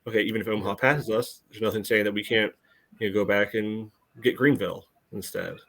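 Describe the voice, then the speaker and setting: Low-pitched man chatting about sport. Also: fairly even intonation, brisk, a bit bright. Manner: informal, peppers talk with "you know". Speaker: podcast panelist. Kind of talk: casual sports analysis.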